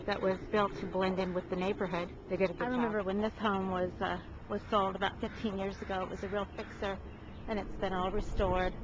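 A woman narrates a walking tour of houses in a chatty, admiring way, pausing often with ums and uhs. Her voice sits at an ordinary middle pitch. The recording is dull and lo-fi, and steady background noise runs under it. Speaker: real estate agent giving a home tour.

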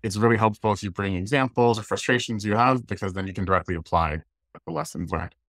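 A man's voice: on the low side, fast, a bit bright, dry recording, studio quality. A man in his thirties with an American accent, giving an informal online presentation in a casual, conversational way. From Washington, D.C., United States. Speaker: guest speaker, design leader. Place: indoors, plain room on a video call.

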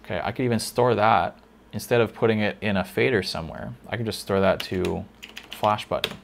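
A man teaching a lighting console in a casual tutorial, thinking aloud with fillers, a little hoarse. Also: lowish voice, fairly quick, slight background noise. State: slightly hoarse, losing his voice. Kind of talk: casual screen-recorded tutorial.

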